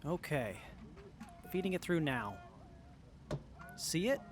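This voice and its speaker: High-pitched, lively, earnest young tinkerer played by a man. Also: slow, soft background music. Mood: focused. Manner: earnest, geeky, expressive. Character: earnest, excitable young tinkerer in armor, sincere and literal-minded. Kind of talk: audio drama acting.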